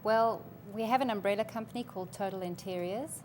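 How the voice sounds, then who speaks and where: A woman, a business partner guest in a TV studio interview, giving brisk and affirming answers. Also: medium pitch, darkish tone, slight background noise. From Zimbabwe.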